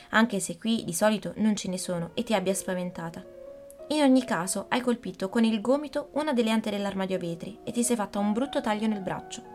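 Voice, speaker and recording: A woman's voice: mid-range voice, a little mellow, natural voice. A woman voicing a worried, firm mother who scolds and soothes her daughter, in a storybook reading.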